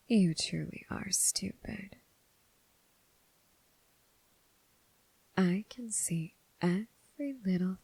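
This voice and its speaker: A woman voicing a bored, mocking female demon in an ASMR roleplay, half-whispering in a languid, condescending way with a husky, creaky voice. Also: fairly low pitch, warm tone, no echo, full character voice, rough recording. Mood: probing, condescending. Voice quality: breathy, husky, with creaky fry. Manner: languid, condescending, drawn-out phrasing with sighs.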